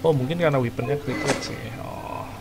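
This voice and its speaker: A man's voice: medium pitch, fast talker. A man with an Indonesian accent giving casual, slangy commentary on a game as he plays. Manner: slangy, informal. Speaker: gaming video host. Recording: loud game music behind voice. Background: loud game music and effects.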